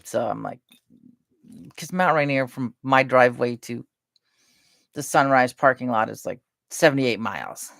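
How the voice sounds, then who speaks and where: Gravelly soft-spoken woman, chatting. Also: a bass voice, measured pace, pauses often, dry recording, clean studio sound. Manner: soft-spoken, chatty, drifts between topics. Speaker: live streamer. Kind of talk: casual rambling chat.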